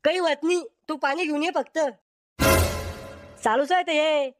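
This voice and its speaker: A woman's voice: high voice, moderate pace, faint music behind, natural voice. A woman voicing a blunt, quarrelsome village character in a comedy cartoon. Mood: matter-of-fact. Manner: blunt, bickering.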